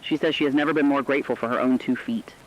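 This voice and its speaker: A man's voice: medium pitch, fairly even intonation, racing, natural voice. A man playing the earnest, solemn host of a last surviving radio station, telling the story as scripted dramatic broadcast narration. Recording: slightly dull lo-fi radio sound.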